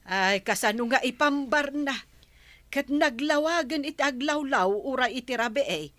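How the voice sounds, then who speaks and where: A woman's voice: sits high, animated, normal speed, a bit bright, no echo. A woman playing a doubting daughter reasoning with her mother, with an Ilocano accent, in a radio comedy drama.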